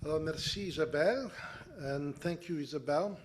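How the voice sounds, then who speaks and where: An older man from Canada giving a measured talk to an interfaith gathering, pausing often with "uh". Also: on the high side, a little hiss or hum. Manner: measured, with frequent "uh" pauses. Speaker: guest speaker.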